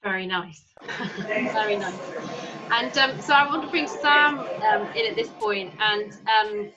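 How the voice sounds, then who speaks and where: A woman's voice: on the high side, animated, takes it slowly, highs cut off, background noise. A woman interviewer chatting casually, feeling her way into questions and rephrasing them as she goes.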